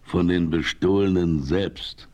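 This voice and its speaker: An actor playing a righteous, authoritative homesteader in a German western radio play, speaking deliberately and sternly. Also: male voice, deep voice, darkish tone. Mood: grave warning. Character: stern, righteous frontier homesteader laying down the law.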